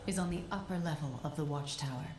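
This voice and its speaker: Solemn female spell-scholar guide for a fantasy game voiced by a woman, measured and instructive, echoing space, scored. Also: sits low, natural voice. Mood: solemn guiding. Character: solemn mystic woman guide advising a warrior. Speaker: guide character. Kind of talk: video game dialogue, instructing. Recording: roomy echo, music underneath. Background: game music.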